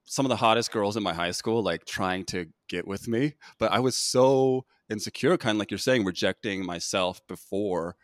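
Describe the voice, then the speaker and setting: A man's voice: fairly low pitch, moderate pace, hardly any pauses, dry recording, studio quality. A man hosting an interview, talking in a loose, rambling way with many restarts and fillers. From United States. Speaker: podcast host. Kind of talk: casual interview chat.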